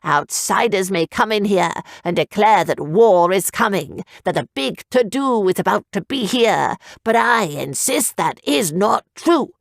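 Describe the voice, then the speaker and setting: A woman's voice: medium pitch, animated, a little slow, gravelly, few pauses, dry recording, studio quality. A woman voicing a pompous, self-assured male town orator who blusters at the crowd. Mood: soothing.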